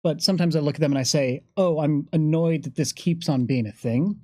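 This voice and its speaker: A man speaking as a game designer in a panel discussion, thoughtful and somewhat halting. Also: on the high side, dark tone, no room sound, clean studio sound.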